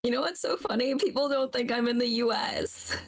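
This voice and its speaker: A woman co-hosting a casual podcast, bubbly and quick as she asks questions and laughs. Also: high voice. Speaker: podcast co-host.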